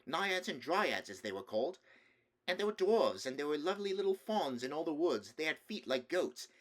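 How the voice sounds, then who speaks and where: Man putting on a high, childlike little boy prince. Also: big pitch swings, moderate pace, fairly bright tone. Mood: eager, innocent. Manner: childlike, earnest, babbling. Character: innocent, eager, timid little boy prince.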